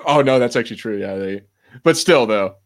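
Male co-host, medium pitch, loose rambling chat, slangy and a bit sweary. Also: expressive, brisk. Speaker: podcast co-host. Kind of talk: casual pop-culture chat.

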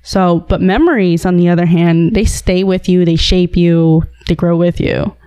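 Smooth-voiced woman asking questions. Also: lowish voice, fairly even intonation, moderate pace, warm tone, no room sound. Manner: reflective, interviewer asking questions. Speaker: host. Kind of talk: casual conversation.

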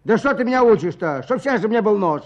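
High-pitched grumbling peasant man. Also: expressive, fast. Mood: irritated. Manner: blustery, nagging, repeats his words. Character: stubborn, grumbling village peasant fisherman, henpecking husband. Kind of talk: radio drama acting. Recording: dull muffled radio play recording.

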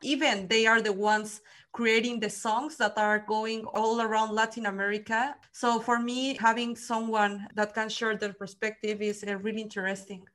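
A woman's voice: on the high side, level tone, moderate pace, fairly bright tone. Mexican woman hosting a chat podcast. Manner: friendly, many fillers and restarts. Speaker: podcast host. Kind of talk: casual interview chat.

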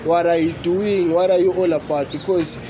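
A man with a high voice hosts a loose podcast chat. He talks in an animated way and slips between English and isiZulu slang. Clear outdoor noise runs underneath. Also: normal speed. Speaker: podcast host.

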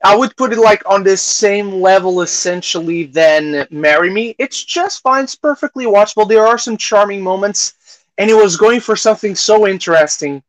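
Higher-pitched man in casual podcast chat. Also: no echo, rough recording. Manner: halting, repeats phrases, acts out imagined reactions. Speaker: podcast host reviewing films.

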